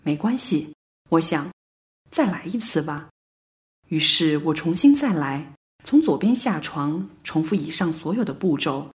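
A woman playing a bewildered Paris resident in a radio play monologue, telling her story deliberately with dramatic swells. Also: low voice, animated, a little mellow. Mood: puzzled, growing anxious. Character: woman living in Paris, bewildered, recounting a looping morning.

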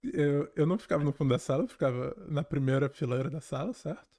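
Man chatting, slightly high voice. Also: fast, a little mellow. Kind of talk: casual chat, personal storytelling.

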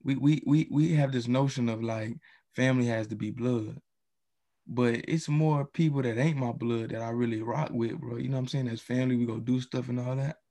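A man in casual podcast chat, relaxed and slangy, leaning on filler phrases. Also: mid-range voice, moderate pace.

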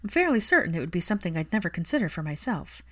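A woman's voice: lowish voice, big pitch swings, rapid-fire, fairly bright tone. Woman reading as a precise, thoughtful young woman. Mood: curious, frank. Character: thoughtful, articulate young woman, gently teasing.

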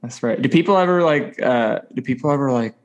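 A man's voice: mid-range voice, a little fast. A man from the American Midwest hosting a podcast, chatting casually with a joking, self-deprecating manner. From US Midwest (Ohio). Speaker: podcast host. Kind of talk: casual conversational interview.

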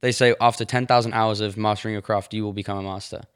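A teenage boy with an English accent, from Sheffield, co-hosting a podcast in relaxed, explanatory chat. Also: on the low side, fast talker, clear, resonant tone. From Sheffield, England. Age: teens. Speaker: podcast co-host. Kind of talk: casual chat.

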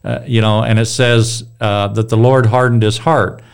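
A middle-aged man with a strong, smooth, resonant voice leads a relaxed Bible discussion. His voice sits low, and he talks loosely, rambling and restarting his sentences as he goes. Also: moderate pace. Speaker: Bible study leader.

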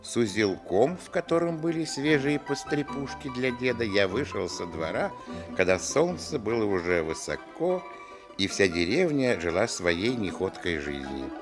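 A man's voice: on the low side, normal speed, music in the background. An actor playing a grown man fondly recalling his village boyhood, narrating a literary radio play expressively. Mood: wry, fond. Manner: expressive storytelling.

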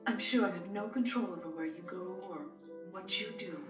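A woman playing a spirited, proper young mother who is quick to scold, in an audio drama. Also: mid-range voice, over music, close to an everyday voice. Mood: firm, defensive. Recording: echoey room, distant from mic.

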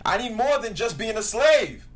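Actor as earnest young man, lively intonation. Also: high-pitched, normal speed. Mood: pleading, defiant. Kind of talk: table read acting.

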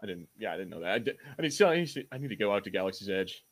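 A man's voice: lowish voice, very animated, racing. An American man co-hosting a podcast, talking casually in a rambling, slangy way about things he is a fan of. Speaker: podcast co-host.

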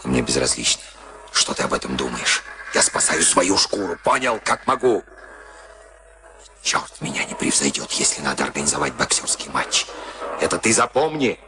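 An actor playing a cynical, streetwise prison camp convict in a Soviet radio play, commanding and sardonic in coarse street talk. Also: male voice, extremely low pitch, sing-song, normal speed. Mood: boastful, hard. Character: cynical, streetwise camp convict Tim, bullying boxing-match organiser.

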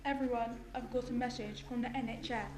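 A woman's voice: a little high, steady tone, fairly quick, background noise, lightly acted. A woman playing an earnest, steadfast elderly retirement home resident in an audio drama. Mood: grave.

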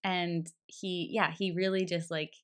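A woman's voice: on the low side, level tone, unhurried. A woman in her thirties chatting casually as a podcast guest, giggly and full of fillers. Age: 30s. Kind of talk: casual chat.

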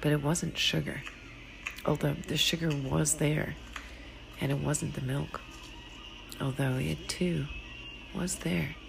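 A woman reading a literary short story in a hushed, deliberate, dramatic way, often sinking to a whisper. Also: deep voice, fairly even intonation, creaky, noisy background. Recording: music behind the voice. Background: music.

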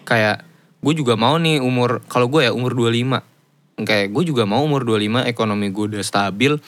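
A man's voice: medium pitch, brisk, fairly bright tone. A young man, hosting a casual podcast chat, slangy and swearing freely as he asks questions. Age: young adult.

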